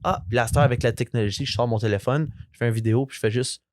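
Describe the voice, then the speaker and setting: A young man with a Franco-Ontarian French accent, a musician chatting as a guest, relaxed and rambling with lots of fillers. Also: mid-range voice, rapid-fire, no room sound, studio quality. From Eastern Ontario, Canada. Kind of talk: casual interview chat.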